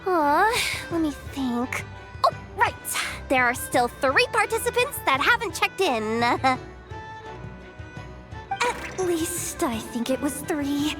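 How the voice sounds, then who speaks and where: An overwhelmed young event worker in a video game. The voice is very high and unhurried but swings about dramatically, flustered and hesitant, with music behind it. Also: female voice, big pitch swings, heavily acted. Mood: scatterbrained, anxious. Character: frazzled, overwhelmed young woman organizing a market expo.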